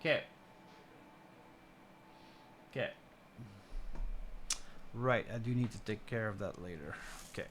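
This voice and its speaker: A man in his forties chatting casually as a live streamer, halting and repeating words as he rambles. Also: on the low side, a bit bright, a little hiss or hum. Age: 40s. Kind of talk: casual chat with stream viewers.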